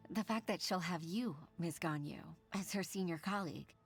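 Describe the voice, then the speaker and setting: Lowish female voice, woman acting as humble middle-aged mother, sincere, respectful, hesitant, anime game dialogue, music behind. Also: moderate pace, close to an everyday voice. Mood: tender. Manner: hesitant, respectful, soft laughs. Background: background music.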